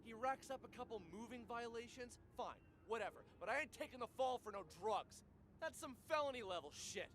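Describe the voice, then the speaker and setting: A man's voice: very high-pitched, a little fast, a little hiss or hum. A man playing a resentful, streetwise young suspect under police questioning in an audio drama. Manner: excitable, streetwise, aggrieved.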